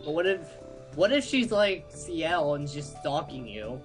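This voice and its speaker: A man's voice: a little high, very animated, unhurried, over music, low-quality recording. A young man giving casual, wisecracking commentary while sharing the reading of a visual novel. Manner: wisecracking, interjecting. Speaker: let's-play co-host. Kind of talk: joking commentary and casual read-aloud.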